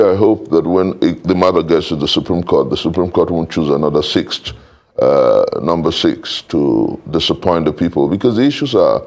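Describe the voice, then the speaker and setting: A Nigerian man speaking as a panel guest, giving political commentary in a deliberate, rhetorical way with formal wording. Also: deep voice, darkish tone.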